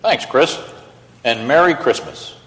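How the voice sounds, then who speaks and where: An actor playing a friendly, easygoing young man in a radio play, relaxed and good-humored. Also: mid-range voice, measured pace, long reverb tail, poor audio.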